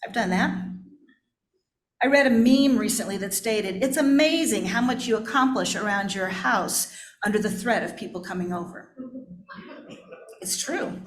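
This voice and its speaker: A woman's voice: mid-range voice, moderate pace, pauses often. A woman pastor giving a personal, confessional sermon in a candid, self-deprecating way. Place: church, indoors. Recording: echoey room.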